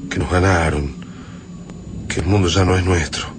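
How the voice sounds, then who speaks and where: A man's voice: deep voice, steady tone, normal speed, natural voice. An Argentine actor in a radio drama playing a weary resistance survivor who longs for his family, blunt and emotional. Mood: anguished, resigned. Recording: clearly noisy radio drama ambience.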